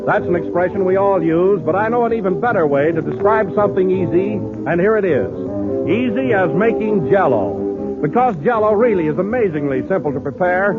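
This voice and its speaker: High-voiced American radio announcer over loud music. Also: male voice, animated, normal speed. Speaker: radio announcer and comic sidekick. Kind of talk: 1930s radio announcing and ad reading. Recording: narrow-band old radio broadcast.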